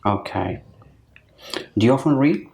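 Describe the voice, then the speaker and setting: Woman examiner, super low voice, short questions, lots of hmms, echoey room bit of background noise. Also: expressive, a little slow, gravelly, warm tone. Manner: brief, with frequent murmured backchannels. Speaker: speaking examiner. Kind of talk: mock exam questioning.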